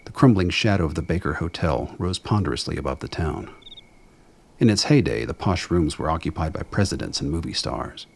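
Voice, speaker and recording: Very deep, creaky male narrator. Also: lively intonation, brisk, a little mellow. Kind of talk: scripted dramatic narration.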